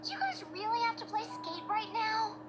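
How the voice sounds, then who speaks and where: An actress voicing a dramatic, slangy teenage skateboarder in a cartoon. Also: female voice, very high-pitched, sing-song, normal speed. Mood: exasperated. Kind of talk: scripted cartoon acting. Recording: loud music behind the dialogue.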